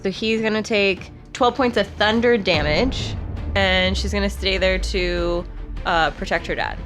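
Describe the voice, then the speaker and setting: A woman's voice: mid-range voice, measured pace, fairly bright tone. A woman casually narrating her character's actions as a player in a tabletop game, with music behind her. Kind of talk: casual tabletop play talk.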